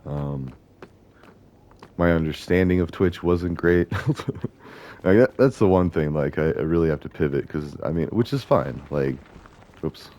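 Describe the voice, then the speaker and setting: A man with a gravelly voice, chatting casually and rambling as he plays a video game, often correcting himself. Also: rumbling low, a little slow, long pauses, darkish tone. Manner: chatty, meandering, self-correcting. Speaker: gaming video host. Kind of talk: casual rambling commentary while playing.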